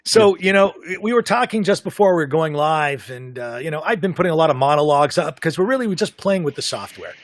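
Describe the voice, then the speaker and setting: Man, podcast host, high pitch, talkative, dry close sound. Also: lively intonation, a little fast, few pauses, dry recording. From Canada. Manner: talkative, self-described big mouth. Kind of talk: casual live podcast chat. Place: home in South Florida.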